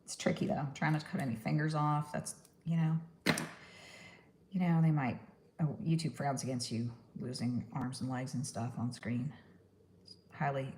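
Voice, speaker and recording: A woman's voice: a bass voice, steady tone, moderate pace, pauses often, darkish tone, a little hiss or hum. A woman from New York with a Texan accent, chatting casually through a crafting livestream, rambling and laughing at her own puns. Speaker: stop-motion puppet maker.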